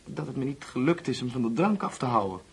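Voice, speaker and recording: A young orphan man in a radio drama. His voice is very husky and breathy at a middle pitch. He speaks politely and haltingly, often correcting himself. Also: sing-song, full character voice. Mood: earnest, regretful. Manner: halting, apologetic, with self-corrections. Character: hesitant young orphan guest, polite, lonely, insistent. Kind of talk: Dutch radio play acting.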